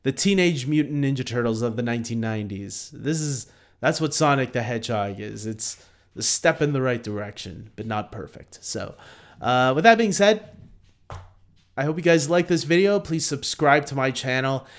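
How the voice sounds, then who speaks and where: A man from Canada chats casually through a movie ranking on his own channel. His voice is smooth and clear at a medium pitch, and he fills his talk with "uh"s, restarts and quick laughs. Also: expressive. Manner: chatty, lots of fillers and restarts, easy laughs. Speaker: movie review vlogger.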